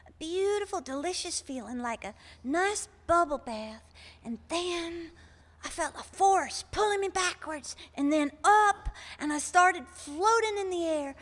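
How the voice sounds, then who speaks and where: A woman's voice: extremely high pitch, lively intonation, measured pace, a bit bright, full character voice. A woman playing a chatty, sweet older caller in a live comic radio play, rambling along in a folksy, unfazed way. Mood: delighted, wondering. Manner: rambling, homespun storytelling. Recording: reverberant hall, live stage performance.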